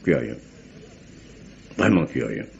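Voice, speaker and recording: Un acteur jouant un procureur général britannique des années 1870, pompeux et soupçonneux, à la diction formelle et précise, dans une dramatique radio policière française. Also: male voice, rumbling low, very animated, takes it slowly, vocal fry, slight background noise, heavily acted. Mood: probing, skeptical. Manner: formal, pompous, precise diction. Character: pompous, suspicious male British attorney general of the 1870s. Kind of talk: French radio mystery drama acting. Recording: dull, muffled vintage radio recording.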